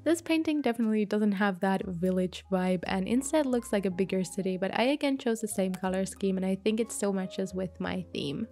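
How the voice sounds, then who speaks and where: A woman giving a calm, gentle voice-over for her journal painting video, a little sick from a mild flu. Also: medium pitch, fairly quick, hardly any pauses, faint music behind. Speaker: bullet journal creator. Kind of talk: voice-over narration of a craft video.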